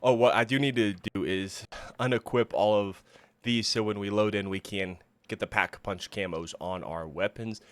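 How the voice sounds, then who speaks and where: A man talking casually through game menus as he thinks aloud, still recovering from an illness and sounding a little rough. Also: fairly low pitch, fairly even intonation, normal speed, no room sound. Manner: chatty, thinking aloud while browsing menus. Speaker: gaming YouTuber. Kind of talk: casual gameplay commentary.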